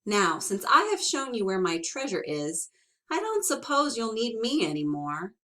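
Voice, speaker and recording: A woman's voice: mid-range voice, very animated, a little slow, bright, forceful tone, pitched above the performer's own voice. A woman voicing a tiny, sly old male leprechaun shoemaker who scolds shrilly and wheedles.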